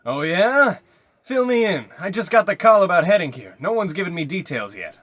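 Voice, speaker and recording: A man's voice: high-pitched, big pitch swings, moderate pace, a bit bright, no room sound, exaggerated voice. A man playing a bossy but squeamish police lieutenant in a comedy radio play, fussy and easily flustered. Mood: probing, uneasy.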